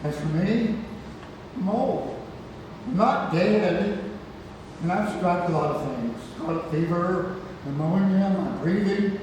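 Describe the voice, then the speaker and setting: A man's voice: high-pitched, slow pace. A man at a play table read, playing a wry, playful old grandfather who is frail but feisty. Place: large indoor theatre room, far microphone. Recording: distant, echoey hall, noisy room recording.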